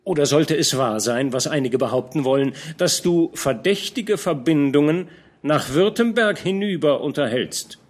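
A man's voice: fairly high pitch, lively intonation, normal speed, pitched above the performer's own voice. Man reading as a shrewd fatherly old captain. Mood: probing. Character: older male field captain, shrewd, fatherly.

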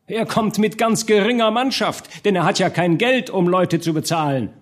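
Man acting a loud, high-pitched gaunt demagogue. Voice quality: hoarse. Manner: pompous, rhetorical. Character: long gaunt agitator doctor haranguing a crowd, self-important.

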